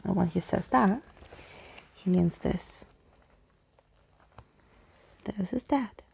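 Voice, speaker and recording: A woman with a husky, creaky voice reading a storybook aloud in a soft-spoken ASMR style. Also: medium pitch, drawn-out words, a little hiss or hum. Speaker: storybook reader.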